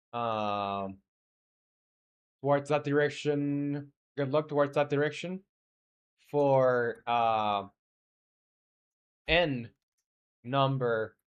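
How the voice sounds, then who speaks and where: A man whose first language is Spanish, a game developer chatting casually on a live stream. He thinks aloud in halting bursts, with frequent hesitations and restarts. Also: medium pitch, clean tone, lo-fi sound. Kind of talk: casual live-stream chat and coding talk.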